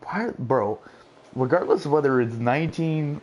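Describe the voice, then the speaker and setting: A man giving casual commentary on a sim race, excitable and lively as he calls the action. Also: a little high, moderate pace, slight background noise, poor audio.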